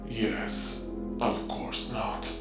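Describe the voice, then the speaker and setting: An actor playing a calculating conspirator in a video game drama, measured, deliberate and cryptic. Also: male voice, reverberant, loud music behind the voice. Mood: coolly scheming.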